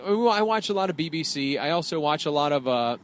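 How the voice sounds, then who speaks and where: Male co-host, a bit high-pitched, rambling, filler-heavy, riled up at times, car podcast. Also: expressive, a little fast, slight background noise. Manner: rambling, heated when riled, many fillers. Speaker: podcast co-host, wrestling trainer. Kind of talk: casual banter while driving. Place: inside a car.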